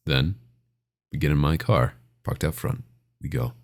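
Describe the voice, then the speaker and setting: A man's voice: unhurried, full character voice. A man playing a possessive, domineering vampire doctor in a scripted ASMR roleplay, speaking in an intimate, condescending and menacing way. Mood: menacing, commanding. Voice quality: very deep, gravelly, often breathy.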